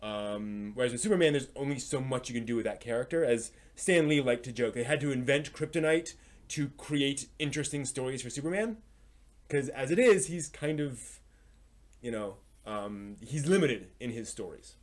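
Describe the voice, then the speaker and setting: Male live stream host, mid-pitched, conversational, halting delivery as he works through his thoughts and commentary.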